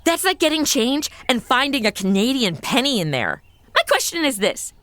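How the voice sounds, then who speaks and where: A woman's voice: high-pitched, big pitch swings, moderate pace, a bit bright, no room sound. A woman playing a sassy, sarcastic modern wisecracker in a comedic audio drama. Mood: wry. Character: sassy modern American woman among medieval outlaws.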